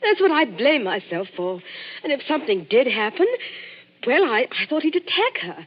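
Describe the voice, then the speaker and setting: A flustered young companion. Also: female voice, on the high side, big pitch swings, moderate pace. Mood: distressed. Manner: breathless, flustered, earnest. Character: anxious young woman companion to an actress. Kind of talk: 1940s radio acting. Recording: dull old radio broadcast recording.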